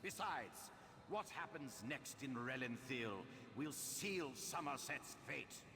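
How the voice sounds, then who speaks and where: An actor playing a sinister, confident cultist leader in a video game, gravelly and grandiose in manner. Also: male voice, mid-range voice, animated, slow pace. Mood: grandiose, assured. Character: sinister male cultist leader of a daedric alliance, confident and menacing. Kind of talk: video game villain acting. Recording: long cavernous reverb.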